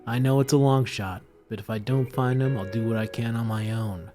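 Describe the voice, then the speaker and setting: A man's voice: medium pitch, steady tone, brisk, over music, lightly acted. A man voicing an earnest, conspiratorial young rebel confiding in his friend, in an amateur table read of a film script. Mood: secretive, determined.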